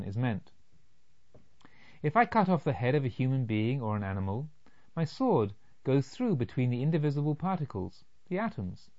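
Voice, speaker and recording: Expressive man reading an audiobook, lively intonation. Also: medium pitch, lo-fi sound. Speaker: audiobook narrator. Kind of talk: scripted literary audiobook narration.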